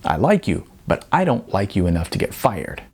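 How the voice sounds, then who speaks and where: A stern, no-nonsense apartment manager in her late twenties, a woman from an audiobook, read by a man. She is weary and blunt, with firm, varied emphasis and a slightly rough tone. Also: low voice, big pitch swings, moderate pace, a little mellow, heavily acted.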